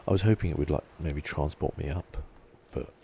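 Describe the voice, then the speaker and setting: A man with an extremely low, creaky voice gives soft ASMR gaming commentary. He muses to himself in an unhurried, understated way, often dropping to a whisper. There is faint noise behind him. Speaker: gaming channel host. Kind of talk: ASMR video game playthrough commentary.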